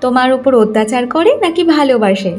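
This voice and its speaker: Woman acting a high-pitched, nosy gossiping neighbour. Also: lively intonation, fairly quick, a little mellow, faint music behind. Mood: prying, knowing. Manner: gossipy, insinuating. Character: nosy, knowing female neighbour, older than bride. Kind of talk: cartoon moral story acting.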